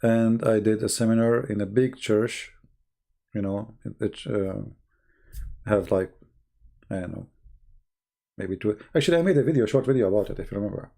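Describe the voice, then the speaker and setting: A man who speaks English as a second language, chatting casually and telling stories on a live stream in a halting, rambling way, often clearing his throat. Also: fairly low pitch, warm tone. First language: not English.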